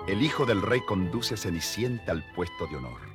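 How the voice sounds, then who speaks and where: A man's voice: sits low, lively intonation, normal speed. A man narrating a scripted fairy tale expressively for young listeners. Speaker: storyteller, narrator. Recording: vintage story record with music behind.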